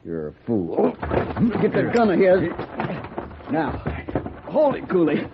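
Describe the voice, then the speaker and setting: An American actor playing a bullying, menacing gang leader in an old-time radio Western, with folksy rustic speech. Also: male voice, a little high, huge pitch swings, slow, full character voice. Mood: taunting, menacing. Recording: muffled phone-like old radio recording, faint noise.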